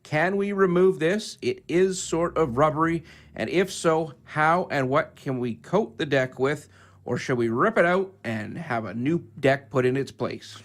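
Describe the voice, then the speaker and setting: A man's voice: fairly high pitch, unhurried. A man, a radio co-host reading a listener's email aloud.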